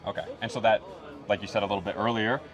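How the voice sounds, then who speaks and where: A man's voice: on the low side, fairly even intonation, very fast. A man interviewing casually, laid-back and agreeable in an informal way. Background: clear background music.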